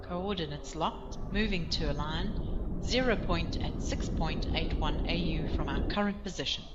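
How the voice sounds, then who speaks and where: A woman's voice: lowish voice, unhurried, close to an everyday voice. A woman playing a clipped, procedural helm officer calling out status aboard a spaceship in an audio drama. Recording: reverberant, dull, loud engine noise.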